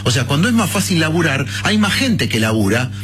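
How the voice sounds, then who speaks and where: A man's voice: a little high, very animated, a little fast, fairly bright tone, soft background music. A man in his fifties with an Argentine Rioplatense accent, a guest in a radio interview, talking bluntly and colloquially with conviction. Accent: Rioplatense Spanish. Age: 50s. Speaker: interviewed politician, former mayor.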